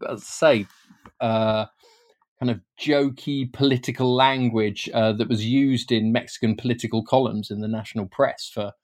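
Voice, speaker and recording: A man with a British accent and a medium-pitched voice gives an informal, explanatory interview as a guest author. He talks in a halting way, often doubling back on words before carrying on. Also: steady tone. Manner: hesitant, often repeating words mid-sentence.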